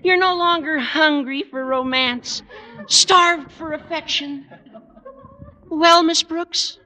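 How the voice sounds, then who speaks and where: An actor playing an eager, scheming high school boy with a squeaky adolescent voice and earnest, dramatic persuasion in old radio comedy style. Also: slow, bright, forceful tone, full character voice, poor audio. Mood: dreamy, rapturous. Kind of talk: 1940s-50s radio comedy acting.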